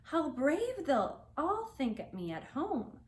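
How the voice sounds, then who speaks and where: A woman's voice: sits high, very animated, takes it slowly, darkish tone, pitched above the performer's own voice, heavily acted. A woman voicing a curious, chatty little girl who wonders aloud to herself in an earnest way, in a storybook reading. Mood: boastful, bright.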